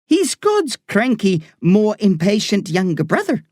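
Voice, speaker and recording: A reader voicing a blunt but kind woman doctor with an Irish accent, earthy and warm in her talk. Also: medium pitch, wildly swooping pitch, unhurried, no room sound, studio quality, voice pushed up. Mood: wry. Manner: salty, earthy talk said with warmth. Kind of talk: audiobook character reading.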